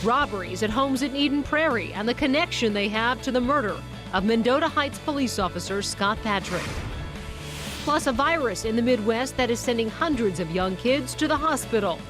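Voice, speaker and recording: An American woman reads scripted TV news as an anchor. Her voice sits on the high side, with music clearly playing behind it. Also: expressive, normal speed.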